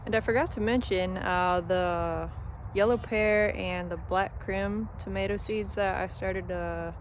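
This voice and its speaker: A relaxed farm vlogger with a drawl who talks through the chores outdoors, filling pauses with ums and uhs. The voice is a touch nasal from a stuffy nose. Steady outdoor noise runs under the whole recording. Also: medium pitch. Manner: easygoing, chatty, many ums and uhs. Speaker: small farmer vlogging their farm. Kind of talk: casual vlog narration. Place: outdoors on a farm, by a chicken coop.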